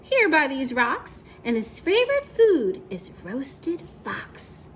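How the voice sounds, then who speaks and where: A woman's voice: high-pitched, huge pitch swings, takes it slowly, a bit bright, exaggerated voice. A woman voicing a small, clever storybook mouse with sing-song, exaggerated politeness and a teasing manner. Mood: boastful, teasing. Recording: faint background noise.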